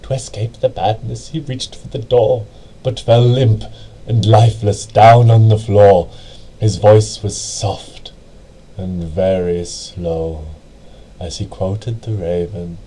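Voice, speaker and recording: A man reading a poem as dramatic narration, with a theatrical, deliberate and brooding delivery. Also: on the low side, fairly even intonation, warm tone, slight background noise, poor audio. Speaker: amateur reader.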